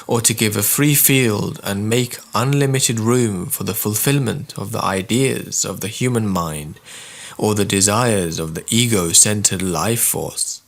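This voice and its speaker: A man reading spiritual writings as scripted narration, in a measured, formal and deliberate manner. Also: fairly low pitch, vocal fry.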